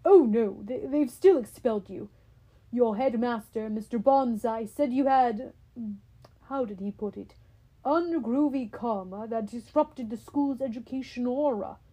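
A woman voicing a wise old centaur teacher who speaks calmly and kindly. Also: a little high, unhurried, low-quality recording.

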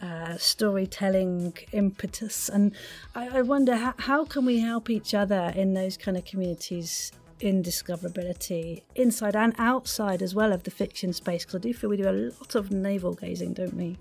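A woman's voice: medium pitch, normal speed, a little mellow, soft background music. A woman hosting a podcast interview in an effusive, rambling, conversational way.

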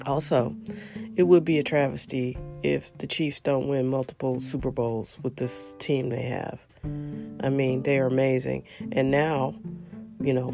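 A woman's voice: a bass voice, vocal fry, a little mellow. A woman hosting a solo podcast, congested and coughing now and then, talking in a chatty, rambling, emphatic way. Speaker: podcast host. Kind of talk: casual solo podcast chat about football.

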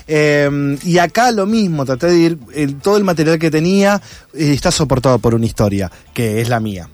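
An Argentine man giving conversational interview answers, reflective and halting as he rephrases his thoughts. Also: a little high, animated, a little mellow, no room sound. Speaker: interviewed actor and content creator.

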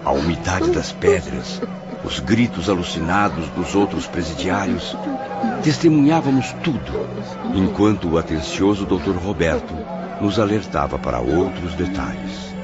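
A man's voice: low voice, lively intonation, a little slow, dull audio, loud music behind the voice. A man playing a solemn witness who narrates a radio drama in a literary, dramatic style. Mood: grave, sombre. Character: grave first-person narrator, witness in the afterlife.

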